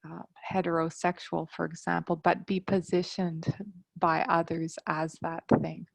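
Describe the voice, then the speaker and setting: Gravelly, low-voiced woman on a video call. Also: little pitch movement, dry recording, rough recording. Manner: measured, precise, qualifying her points. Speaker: workshop participant, university researcher. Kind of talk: online workshop discussion.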